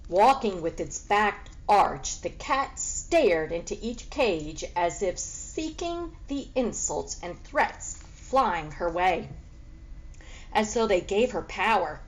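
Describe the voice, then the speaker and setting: A woman narrates a children's story in the manner of a teacher reading to pupils. Her delivery is unhurried, with animated, widely varied intonation. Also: mid-range voice, low-quality recording.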